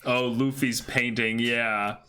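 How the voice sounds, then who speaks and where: A man's voice: medium pitch, level tone, slow pace, noisy background. A man co-hosting a comic reading, reacting and commenting casually. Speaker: co-host of a dramatic comic reading.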